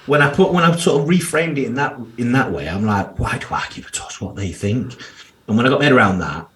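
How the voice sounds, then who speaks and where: A man's voice: medium pitch, lively intonation, fast, fairly bright tone. A man in his thirties with a strong Yorkshire accent, a financial planner speaking candidly as a podcast guest, with slang and the odd curse. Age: 30s. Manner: candid, blunt, uses slang and swearing. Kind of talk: casual podcast interview.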